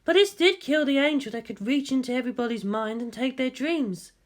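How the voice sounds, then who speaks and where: Woman acting an earnest, high-voiced young girl. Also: fairly quick, close to an everyday voice. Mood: anxious, earnest.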